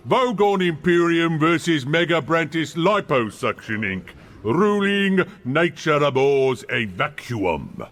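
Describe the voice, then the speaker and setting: An actor playing a fussy, obsequious alien court clerk in a radio comedy, officious in manner. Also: male voice, on the high side, very animated, slow pace, a bit bright, exaggerated voice.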